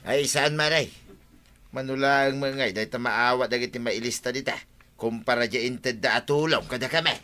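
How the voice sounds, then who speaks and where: Radio comedy sidekick male actor: brash Ilocano villager, teasing, very bright cutting tone. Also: mid-range voice, moderate pace, lightly acted. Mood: cheeky. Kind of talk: broadcast comedy radio drama acting.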